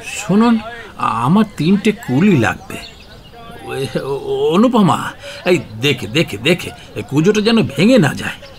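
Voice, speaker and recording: Man acting creaky-voiced, halting middle-aged father. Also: fairly high pitch, sing-song, heavily acted. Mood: fussing. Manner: hesitant, halting, repeats words. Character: kindly, fussy middle-aged widowed father on holiday. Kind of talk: Bengali radio drama acting.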